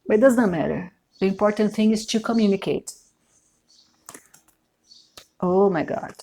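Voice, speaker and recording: A woman from Brazil with a Brazilian Portuguese accent, giving a tarot reading in English, her second language, at a slow and deliberate pace, often pausing to search for words and repeating phrases. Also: mid-range voice, dark tone. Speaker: tarot reader. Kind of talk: unscripted tarot reading to camera.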